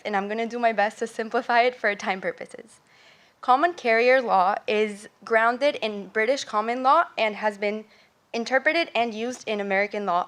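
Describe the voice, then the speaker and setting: A young woman from Venezuela giving an earnest student presentation, explaining ideas step by step with occasional fillers and self-corrections. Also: on the high side. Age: young adult. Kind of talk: academic presentation. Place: lecture hall.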